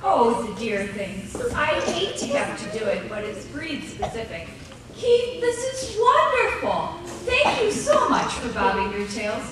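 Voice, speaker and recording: Woman as fussy dog breeder, high voice, lively swoops, gushing, radio play, echoey noisy hall. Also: measured pace, recorded from a distance, a crowd in the background. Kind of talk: live radio play acting. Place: live stage in arts center.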